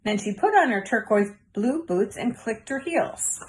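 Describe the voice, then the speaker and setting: A woman's voice: a little high, lively intonation, measured pace, a little hiss or hum. A woman reading a storybook aloud for children, with chatty asides to young listeners. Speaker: storybook reader. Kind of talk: read-aloud narration for children.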